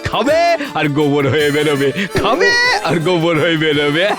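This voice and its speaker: A man's voice: a little high, expressive, normal speed, over loud music. A man playing a greedy, bossy village husband in a fairy-tale cartoon, with an insistent, exaggerated, repetitive way of talking. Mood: bossy, stubborn.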